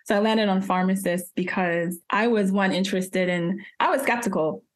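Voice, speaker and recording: A chatty woman pharmacist, born in Jamaica and raised in New Jersey, tells her story as a podcast guest. She talks freely, laughs often and fills her pauses with "um" and "you know.". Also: medium pitch. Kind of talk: casual interview storytelling.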